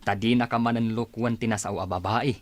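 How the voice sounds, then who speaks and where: A man's voice: lowish voice, fairly even intonation, fairly quick, lightly acted. A man playing a suspicious, teasing brother in a radio drama, probing as he talks. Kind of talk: melodramatic radio drama acting.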